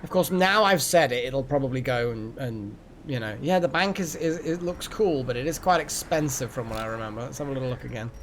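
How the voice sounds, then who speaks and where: A man's voice: mid-range voice, lively intonation, brisk, few pauses, a little hiss or hum. A man hosting a live game stream, chatting casually and rambling as he thinks aloud. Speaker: game livestream host. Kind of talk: casual live gaming chat.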